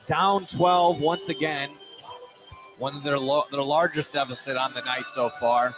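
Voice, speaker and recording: A man's voice: a little high, steady tone, unhurried, music in the background, poor audio. A man giving live play-by-play commentary as a basketball announcer. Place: indoor gym at a basketball game. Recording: loud gym noise behind the voice. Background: loud game ambience.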